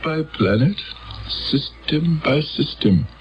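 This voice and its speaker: An actor playing a commanding male elder of an alien world, measured and portentous, in a dramatic radio play. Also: on the high side, expressive. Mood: ominous, solemn. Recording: dull old radio recording, background noise.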